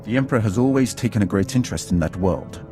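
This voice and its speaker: A grave, knowing advisor acted by a man for a video game. His low voice moves expressively, solemn and formal, over prominent music. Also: animated, fast talker, close to an everyday voice. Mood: grave, ominous.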